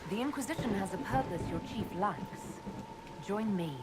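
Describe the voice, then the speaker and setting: A woman playing a composed, earnest elf heroine in a video game, speaking calmly and directly. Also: medium pitch, moderate pace, very noisy, lightly acted. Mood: composed, resolute. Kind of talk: video game character acting.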